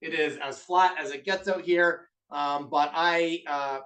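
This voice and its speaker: A man's voice: fairly high pitch, fairly even intonation, measured pace, fairly bright tone, recorded from a distance. A man with a Canadian accent hosting a live online masterclass in an upbeat, chatty way, with lots of filler uhs. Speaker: webinar host and business co-owner. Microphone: video call mic.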